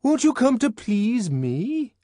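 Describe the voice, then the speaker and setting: A man voicing an anxious pleading man who shouts and wails through a locked door, in an audiobook. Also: very high voice, very animated, takes it slowly, dry recording, clean studio sound, pitched above the performer's own voice, heavily acted. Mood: pleading, wailing. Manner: wheedling, wailing at the top of his voice. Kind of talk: audiobook character voice.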